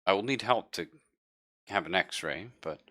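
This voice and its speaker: A man playing an anxious, apologetic ship's doctor in an improvised tabletop roleplay, speaking haltingly and carefully. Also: sits low, expressive, sharp tone, no echo, clean studio sound, heavily acted. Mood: hesitant, earnest.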